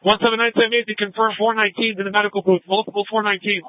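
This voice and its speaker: Fast-talking policeman on tinny radio. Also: high-pitched, flat delivery, no echo. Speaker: police officer. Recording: tinny, band-limited police radio scanner.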